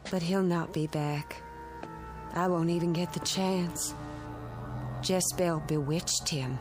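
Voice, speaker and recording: An actress playing a young, lovelorn, jilted country daughter, plaintive and wistful, in a radio drama. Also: female voice, on the low side, fairly even intonation, takes it slowly. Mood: heartbroken, yearning. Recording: radio play with music bed.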